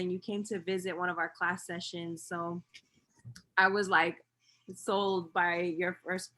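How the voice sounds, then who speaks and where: Woman from the bay area on a zoom call, kinda low voice, lots of um and like. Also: a bit bright. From California Bay Area. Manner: earnest, halting, many fillers. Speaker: book club member. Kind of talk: casual video call intro. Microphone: video call.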